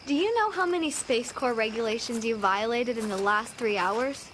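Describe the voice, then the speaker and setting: An actress playing a prim, cautious, rule-bound companion, precise and scolding, in an audio drama. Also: female voice, on the high side, normal speed, fairly bright tone, slight background noise, lightly acted. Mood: disapproving.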